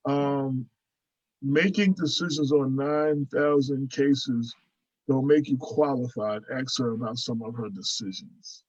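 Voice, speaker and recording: A male radio host asks questions haltingly and reads out listener comments. His mid-pitched voice sounds dry and close, with a dull, lo-fi quality. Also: steady tone, darkish tone, no echo. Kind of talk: interview questions.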